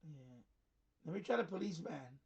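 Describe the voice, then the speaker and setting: High-pitched halting man, off the mic. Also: animated, a little mellow, highs cut off. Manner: halting, repetitive muttering to himself. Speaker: live stream host. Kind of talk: casual live commentary while scanning radio bands. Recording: hissy, distant microphone.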